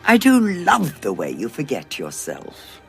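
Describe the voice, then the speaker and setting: An actress with a British accent playing a scheming, coolly amused war commander in an audio drama, arch and condescending. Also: female voice, very deep voice, wildly swooping pitch, measured pace, creaky, faint music behind, exaggerated voice. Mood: cutting, superior. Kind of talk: full-cast audio drama acting.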